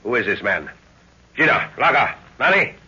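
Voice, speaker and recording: A man's voice: medium pitch, sing-song, moderate pace, sharp tone, slightly rough, heavily acted. An actor playing a stern adventurer and protective father in a 1930s radio serial, with clipped, authoritative delivery. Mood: stern, urgent. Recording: dull 1930s radio recording.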